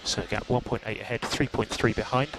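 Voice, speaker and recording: A man working as a race engineer, calmly reading out gaps and lap times over team radio. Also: medium pitch, brisk. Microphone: headset radio. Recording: noisy team radio line.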